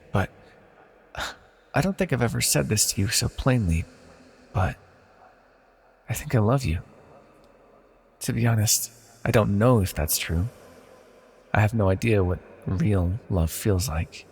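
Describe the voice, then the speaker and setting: Man acting a gravelly, condescending immortal villain sorcerer. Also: lowish voice, lively intonation, moderate pace, pauses often. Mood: tender, possessive. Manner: soft-spoken, condescending, theatrically formal. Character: immortal banished villain sorcerer, male, condescending, possessively tender. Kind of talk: ASMR fantasy audio roleplay monologue. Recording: long hall-like reverb.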